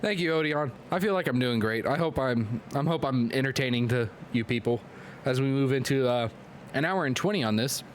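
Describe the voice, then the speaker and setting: A man hosting a live stream and excitedly calling play-by-play sports commentary while losing his voice from a clogged nose. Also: mid-range voice, a little hiss or hum. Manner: excitable, hyped play-by-play with quick asides to chat. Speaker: league commentator and stream host.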